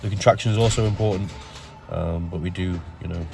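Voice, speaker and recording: Man, nasal from a cold, deep voice, monotone, casual workout voice-over, faint music behind. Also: a little fast, creaky. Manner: relaxed, many ums, explains step by step. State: has a cold. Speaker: bodybuilder narrating his workout.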